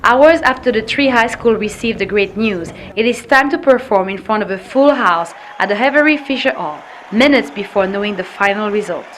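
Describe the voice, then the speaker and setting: A woman's voice: medium pitch, expressive, moderate pace, hardly any pauses, fairly bright tone, slight background noise, poor audio. A woman reporter reading scripted documentary narration.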